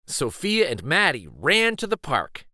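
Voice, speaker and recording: A man reading a children's picture book aloud in an expressive, deliberate, emphatic storytime style. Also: on the high side, no room sound, clean studio sound. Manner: deliberate, animated, emphatic phrasing.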